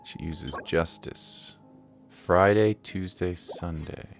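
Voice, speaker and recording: A man's voice: low-pitched, slow pace, vocal fry, soft background music. A man giving laid-back gaming commentary in casual, muttered asides. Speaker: gamer streaming a playthrough.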